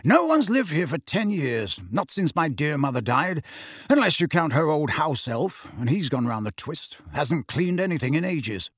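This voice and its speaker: Man playing a husky, bitterly sardonic man. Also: fairly high pitch, very animated, moderate pace, no room sound, clean studio sound. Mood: sarcastic. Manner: sarcastic, colloquial. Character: grim, bitter, sardonic man, restless and cooped up.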